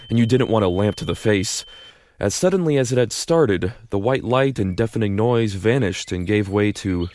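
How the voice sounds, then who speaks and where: A man's voice: fairly low pitch, a little hiss or hum. A man narrating a fan audio drama in the second person, casual and wry.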